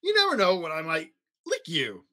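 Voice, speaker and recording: Man doing a big scruffy slobbery monster, high-voiced. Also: wildly swooping pitch, normal speed, sharp tone, heavily acted. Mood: casual. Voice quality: slurping. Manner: casual, whiny, trying to scare.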